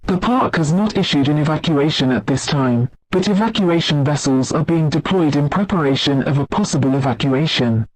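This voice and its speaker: A man playing a calm, formal theme park announcer reading a measured official safety announcement. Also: fairly high pitch, rough recording. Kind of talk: scripted public address announcement.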